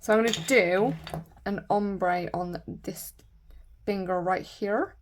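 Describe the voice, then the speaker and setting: A woman with a croaky voice from a sore throat, chatting casually and rambling with lots of fillers while doing her nails. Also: mid-range voice, slow, a little hiss or hum. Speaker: nail vlogger.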